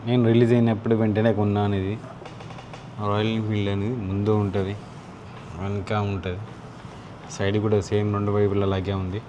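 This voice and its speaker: A man's voice: on the low side, little pitch movement, fairly quick, long pauses, dull audio, background noise. A man with a Telugu accent talking informally and thinking aloud as he casually walks through a product. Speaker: motovlogger unboxing and installing gear. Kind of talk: casual unscripted product walkthrough.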